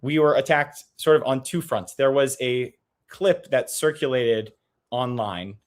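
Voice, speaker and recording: A man from the United States, a guest on a political interview, speaking earnestly and quickly with many restarts and small nervous laughs over a video call. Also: mid-range voice. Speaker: advocacy group guest.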